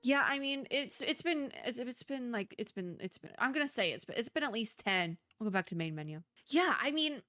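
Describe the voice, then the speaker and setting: A woman's voice: fairly high pitch, lively intonation, fairly quick, few pauses, bright, forceful tone. A woman giving casual, chatty game commentary as a streamer and reviewer, with informal, playfully exasperated reactions.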